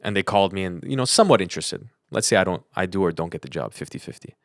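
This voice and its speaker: A young American man with a notably deep voice co-hosts a podcast. He speaks casually and earnestly, in the manner of someone handing out advice. The sound is very dry. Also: animated, very fast, vocal fry, no echo. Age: young adult. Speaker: podcast co-host.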